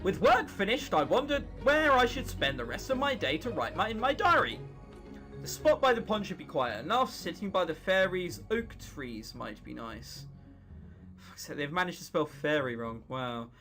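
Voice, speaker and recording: A man's voice: sits high, very animated, moderate pace, pushed and bright. A British man in his late teens giving casual, sarcastic, sweary commentary while reading a visual novel aloud. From England or Britain. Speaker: gaming video host. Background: music.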